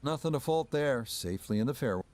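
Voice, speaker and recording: A man giving calm, measured play-by-play commentary on golf in a video game. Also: mid-range voice. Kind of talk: sports commentary.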